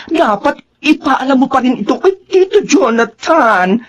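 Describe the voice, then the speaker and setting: A woman's voice: high-pitched, sing-song, a little slow, exaggerated voice. A woman playing a sassy, protective, outspoken young cousin in a Filipino radio drama, exclamatory and mixing in English with a Filipino accent. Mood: indignant. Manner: exclamatory, mixes English into Tagalog.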